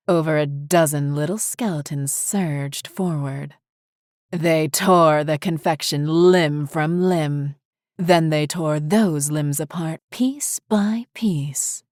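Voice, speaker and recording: Low-voiced woman narrating horror, unhurried. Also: a little mellow, no room sound, clean studio sound. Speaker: story narrator. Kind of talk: scripted horror story narration. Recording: faint music behind. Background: faint music.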